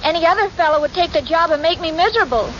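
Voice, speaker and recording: An actress playing a naive, sweet, scatterbrained young secretary in 1940s radio comedy, with an earnest, hesitant manner. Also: female voice, very high-pitched, animated, fast, a bit bright. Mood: sweetly naive. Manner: earnest, dim, hesitant, muddles sayings. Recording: noisy, muffled 1940s radio recording.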